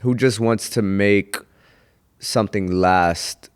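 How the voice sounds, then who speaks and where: A young man from Houston, Texas, a comedian guest on a podcast, talking in an unhurried, reflective way with pauses to think. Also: lowish voice, smooth. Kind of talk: casual podcast interview.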